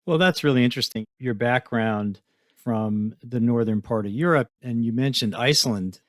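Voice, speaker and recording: A man's voice: mid-range voice, normal speed, no room sound, clean studio sound. A man hosting an interview podcast, speaking in a conversational, presenterly way. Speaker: podcast host. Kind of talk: scripted introduction and conversational interviewing.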